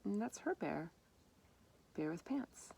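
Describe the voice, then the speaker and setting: A woman's voice: fairly low pitch, very animated, takes it slowly, vocal fry, mellow, breathy edge. A woman reading a children's picture book aloud, explaining things simply and speaking directly to young listeners. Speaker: storybook reader.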